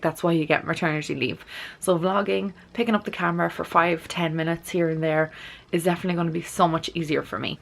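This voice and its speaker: A congested young Irish woman talks casually to her camera at home. Her voice sits a little low and sounds nasal from blocked sinuses. She rambles in an easy, chatty way, full of "like.". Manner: chatty, peppered with "like". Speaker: beauty and lifestyle vlogger. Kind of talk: casual vlog chat to camera. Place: at home, indoors.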